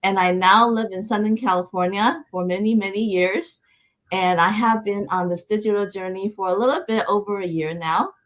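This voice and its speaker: Middle-aged woman hosting a live online show, video call mic, measured intros, mid pitch. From Taiwan. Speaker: host.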